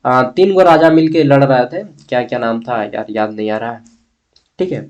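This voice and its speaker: A man's voice: mid-range voice, fairly even intonation, moderate pace, a little mellow, muffled recording. A man with an eastern Indian Hindi accent from the Bihar-Jharkhand belt teaches history informally, repeating points and answering his own questions. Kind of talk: informal exam-prep lesson, explaining a text.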